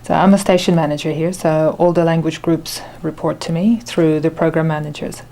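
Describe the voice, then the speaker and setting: A woman's voice: sits low, steady tone, fairly quick, vocal fry, warm tone. A middle-aged woman whose first language is Ukrainian, a radio station manager giving thoughtful interview answers with frequent fillers and restarts.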